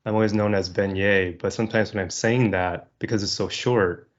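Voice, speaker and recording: A man hosting a podcast, asking casual interview questions in a relaxed way with small restarts. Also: on the low side, moderate pace. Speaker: podcast host. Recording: slightly dull lo-fi audio.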